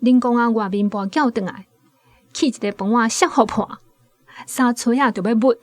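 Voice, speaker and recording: A woman's voice: on the high side, normal speed, no room sound. A woman with a Taiwanese accent narrating a scripted radio drama as a storyteller.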